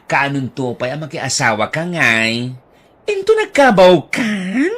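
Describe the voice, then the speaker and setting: Woman playing a chatty gossipy bestie, voice leaps all over, drawn-out words. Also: deep voice, exaggerated voice. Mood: teasing. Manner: animated, teasing, exclaiming. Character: lively gossiping female friend, playful.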